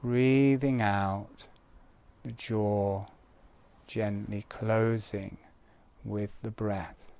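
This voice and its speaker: Slow, smooth-voiced man guiding relaxation. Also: fairly low pitch. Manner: calm, gentle, unhurried, spaced short phrases. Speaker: breathing and relaxation instructor. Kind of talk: guided relaxation exercise instruction.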